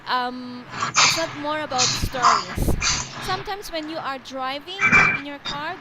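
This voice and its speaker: A woman's voice: high voice, noisy background. A woman from the Philippines with a Filipino accent, teaching an online English lesson over a video call in a patient, explanatory way. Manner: patient, explanatory, often repeats and rephrases. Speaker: English tutor.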